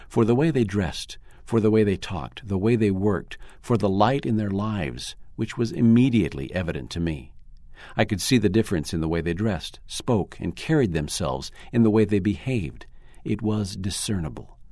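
Deep-voiced man reading an article. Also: animated, moderate pace, mellow, no echo, clean studio sound. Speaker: narrator. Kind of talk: scripted reading of a first-person article.

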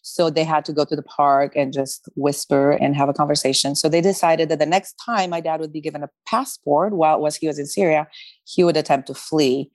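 A middle-aged woman from Romania, a guest telling her life story slowly and deliberately over a remote call. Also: low voice, steady tone, darkish tone, no echo. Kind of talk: interview storytelling. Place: home, joining remotely. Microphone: remote video call.

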